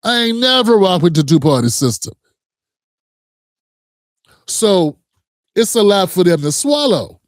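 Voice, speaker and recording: A man's voice: sits high, big pitch swings, measured pace, pauses often, no room sound, clean studio sound. A man hosting a reaction commentary, talking in a heated, emphatic way with frequent profanity and direct address to viewers. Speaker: commentary host.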